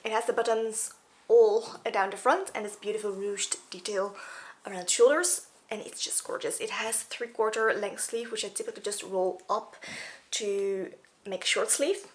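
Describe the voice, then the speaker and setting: Hoarse young woman chatting about clothes. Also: mid-range voice. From Netherlands. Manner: chatty, with fillers and asides. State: losing her voice, sore throat. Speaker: fashion vlogger. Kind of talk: casual wardrobe walkthrough. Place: indoors, small plain room.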